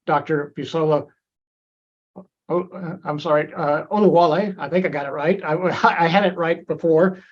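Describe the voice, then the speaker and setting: A man's voice: a little high, fairly even intonation, moderate pace, dull audio. A man moderating a webinar question session at grand rounds, speaking with frequent fillers and restarts. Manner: hesitant, many fillers and restarts.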